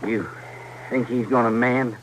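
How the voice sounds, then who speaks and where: An actor with a rustic American accent playing a childish, cowed, simple-minded outlaw sidekick in a radio western. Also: male voice, mid-range voice, steady tone, unhurried, natural voice. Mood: pleading. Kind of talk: old-time radio western acting. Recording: noisy vintage radio recording.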